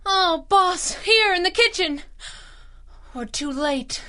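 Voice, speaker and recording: A woman's voice: very high-pitched, expressive, slow, pushed and bright, heavily acted. A woman playing a plucky, loyal young sidekick in a 1930s-style radio serial, earnest and slangy. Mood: horrified.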